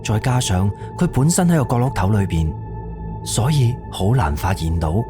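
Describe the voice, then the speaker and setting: A fairly low male voice narrates a suspense story over clear background music. He speaks in a scripted radio-drama storytelling style. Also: sing-song, moderate pace.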